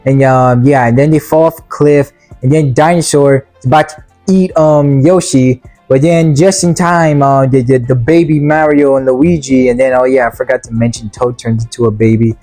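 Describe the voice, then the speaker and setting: A man giving a casual, rambling film review in slangy, informal language, halting and repeating words, with sarcastic asides. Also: medium pitch, fairly even intonation, dark tone, soft background music. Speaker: movie reviewer.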